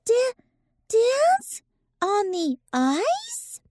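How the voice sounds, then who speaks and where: Little girl bunny, squeaky, very slow delivery, swooping intonation, eager, children's storybook character. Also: wildly swooping pitch, darkish tone, no echo, clean studio sound, exaggerated voice.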